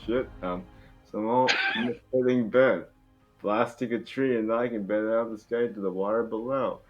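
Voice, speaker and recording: Low-voiced man reading script narration, slightly muffled. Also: steady tone, moderate pace, soft background music. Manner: informal, stumbling over the script. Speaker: table read narrator and reader.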